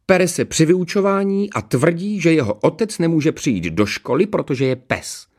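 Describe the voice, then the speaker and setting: A man's voice: on the high side, sing-song, a little mellow, pitched above the performer's own voice. A man voicing a stern, official headmaster in a story, formal and complaining. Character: stern, official male school headmaster on telephone.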